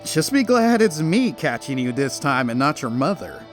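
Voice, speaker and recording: A man acts out a fatherly bat pony guard with a swooping, expressive delivery. He is gentle and playfully teasing, in cartoon radio play style. Soft music sits faintly behind him. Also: on the high side, moderate pace. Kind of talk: animated fan audio drama acting.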